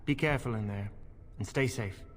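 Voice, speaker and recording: An actor playing a solemn knight on guard in a fantasy game, speaking in an earnest, measured, formal way. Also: male voice, mid-range voice, a little hiss or hum, natural voice. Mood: somber concern. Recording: long hall reverb.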